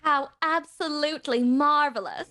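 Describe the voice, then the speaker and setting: A woman's voice: sits high, measured pace, bright, forceful tone. An actress playing a haughty, worldly society woman in a 1920s radio mystery, speaking in an arch, affected way. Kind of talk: 1920s radio drama acting.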